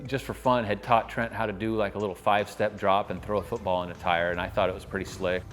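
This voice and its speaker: A man's voice: fairly low pitch, little pitch movement, fast talker. A middle-aged man from Hawaii, a father, telling family stories in a documentary interview, with fillers. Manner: warm storytelling with fillers. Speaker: player's father.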